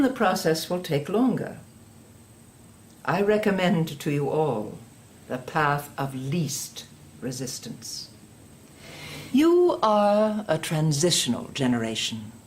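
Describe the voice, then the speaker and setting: An actress playing a stern, sanctimonious older woman indoctrinator in an audio drama, measured and condescending. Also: deep voice, a little mellow, slight background noise, exaggerated voice.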